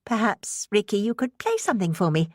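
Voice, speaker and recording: A woman voicing an anxious, polite mother who teaches piano, with a brightly strained manner in an audiobook. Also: mid-range voice, sing-song, fairly quick, dry recording, clean studio sound, voice pushed up. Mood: politely strained.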